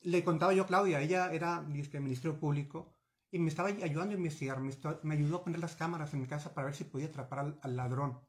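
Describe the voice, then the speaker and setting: Hombre mexicano, cuarentón, entrevistado por webcam desde su despacho; tono algo agudo, habla agitada, gesticula, se corrige a menudo. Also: male voice, a little high, quick pace. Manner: animated, gesturing, many restarts and fillers. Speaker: interviewee. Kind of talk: recounting a personal story. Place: small home office with bookshelves. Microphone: video call webcam.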